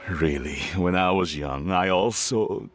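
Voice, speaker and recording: A gruff middle-aged father in a video game. His voice sits very low and he takes his time, halting and a little awkward as he shows a tender, reticent pride. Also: faint music behind. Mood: tender, encouraging. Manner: halting, sincere, slightly awkward.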